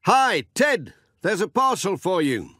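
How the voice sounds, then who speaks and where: A man's voice: high voice, very animated, a little slow, pushed and bright, slightly rough, no echo, clean studio sound, pitched above the performer's own voice, exaggerated voice. A man reading the part of a friendly, good-natured village postman in a children's audiobook. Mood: cheerful, helpful. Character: cheerful friendly village postman, a little hapless.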